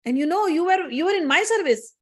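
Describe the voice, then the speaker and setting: A woman's voice: high voice, animated, quick pace. A woman with an Indian accent voicing a stern, righteous goddess who scolds and commands in a spoken myth. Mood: stern condemnation.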